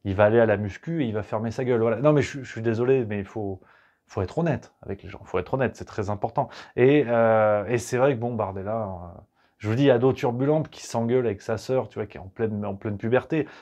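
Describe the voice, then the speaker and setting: A man's voice: lowish voice, fairly quick, a little mellow, muffled recording. A man hosting a satirical political show, talking in a sarcastic, crude, conversational way. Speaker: host.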